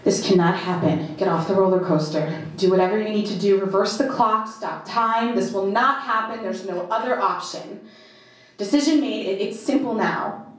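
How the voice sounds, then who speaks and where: A woman performing a first-person dramatic monologue at a live reading, in short, deliberate, halting phrases with emphatic repetition. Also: mid-range voice. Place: indoor live performance in a club. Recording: very echoey live venue.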